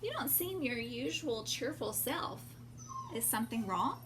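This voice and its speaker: A woman's voice: fairly high pitch, lively intonation, unhurried. A woman voicing a kindly old male porcupine uncle in a children's storybook, affectionate and reassuring. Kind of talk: children's storybook read-aloud. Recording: clear background noise.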